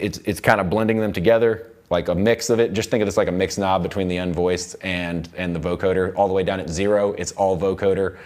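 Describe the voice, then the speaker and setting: A young man teaching music production in a studio, explaining software in an informal, rambling way. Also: on the low side. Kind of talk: casual software tutorial. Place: indoor recording studio.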